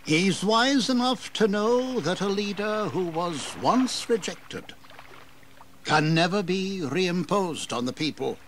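Defiant old colonial governor, audio drama. Slow, high, formal delivery over audible noise. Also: male voice, animated, a bit bright, full character voice. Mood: resigned, proud. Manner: declamatory, formal. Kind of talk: historical audio drama acting. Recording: audible background noise and ambience.

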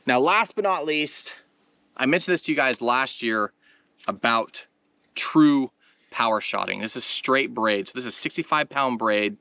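A man with a bright, forceful voice at a medium pitch, presenting a hands-on tutorial as host. He talks informally and directly to his viewers, and the sound is dry and close. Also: expressive, a little slow, no room sound. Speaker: host of a fishing tutorial channel. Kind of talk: casual instructional demonstration talk.

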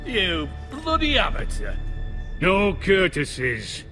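An actor playing a hostile, sneering middle-aged lord in a dramatic fantasy video game. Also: male voice, sits high, wildly swooping pitch, slow pace, pushed and bright, soft background music, heavily acted. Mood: furious. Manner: sneering, blustering, profane. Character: hostile, foul-mouthed middle-aged lord, gloating and quick to rage.

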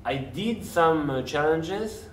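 A man's voice: fairly high pitch, lively intonation, slow pace. Serbian man in a roomy space. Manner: hesitant, searches for words in non-native English. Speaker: interview guest, parkour coach. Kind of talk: casual interview conversation. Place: large empty café-like room, at a table indoors. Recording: roomy, distant mic, faint noise.

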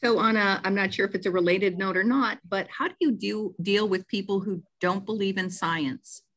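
A woman moderating an online Q&A, polite and measured, with soft fillers. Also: mid-range voice, steady tone. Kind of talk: reading audience questions. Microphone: video call.

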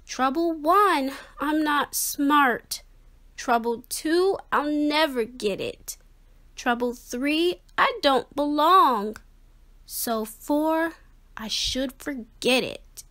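A woman's voice: high-pitched, lively intonation, extremely slow, pushed and bright, full character voice. A woman voicing a discouraged young schoolboy fish in a storybook, with plaintive, emphatic complaints. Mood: dejected.

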